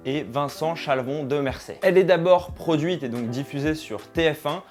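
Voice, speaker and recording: A man's voice: on the high side, normal speed. Young man, music behind him. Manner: informal, addresses viewers directly. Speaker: video essayist and host. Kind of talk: conversational video-essay commentary.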